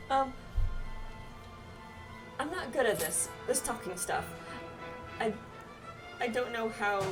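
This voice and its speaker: A woman voicing an awkward, earnest outcast boy in a game, with a halting, pleading manner. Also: a little high, recorded from a distance. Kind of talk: game character dialogue read aloud. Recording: game music behind the voice.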